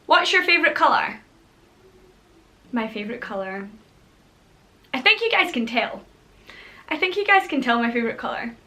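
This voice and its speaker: A chatty Scottish woman in her thirties talks straight to camera in a casual vlog. Her voice sits a little high and sounds bright and forceful. She is bubbly and drops playful whispered asides. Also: big pitch swings, normal speed, long pauses. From Scotland. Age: 30s. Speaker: vlogger.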